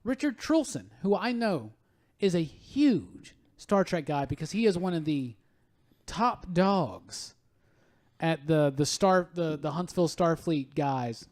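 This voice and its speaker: High-pitched man hosting a podcast. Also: expressive, takes it slowly, a little mellow. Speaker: podcast host. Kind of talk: casual panel chat.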